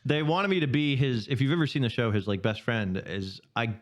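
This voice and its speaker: A man's voice: mid-range voice, animated, brisk. Rambling young man, casual podcast chat. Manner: rambling, trailing off with laughs. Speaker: podcast co-host.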